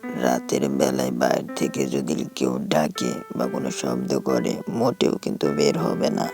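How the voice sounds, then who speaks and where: A grave older village uncle, with a low, heavily creaking voice. He talks like a folksy elder from a cartoon ghost story, ominous and gravelly, over prominent music. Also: level tone, unhurried, heavily acted. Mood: grave warning. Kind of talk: cartoon horror story dialogue.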